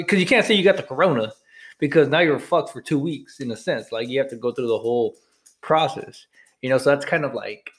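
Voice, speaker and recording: A man co-hosting a podcast in casual chat, rambling and frank, swearing freely as he thinks aloud. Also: medium pitch. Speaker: podcast co-host.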